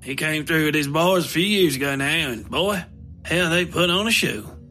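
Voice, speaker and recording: A man's voice: on the high side, animated, moderate pace, bright, forceful tone, soft background music, pitched above the performer's own voice. A man voicing a folksy, boastful shopkeeper in a storybook tale, with rambling, drawling country talk. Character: folksy boastful male shopkeeper telling war tales.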